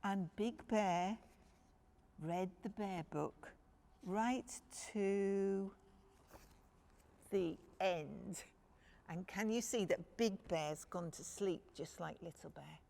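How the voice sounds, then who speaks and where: A woman's voice: medium pitch, extremely slow, gravelly, long pauses, mellow. A woman storyteller reading a picture book expressively to young children, addressing them directly.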